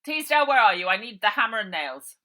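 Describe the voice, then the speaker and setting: A woman's voice: on the high side, very animated, fast, bright, forceful tone, voice pushed up. A woman voicing a cold, commanding, contemptuous villainous uncle in a children's story, mock-polite and sneering. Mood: taunting, menacing.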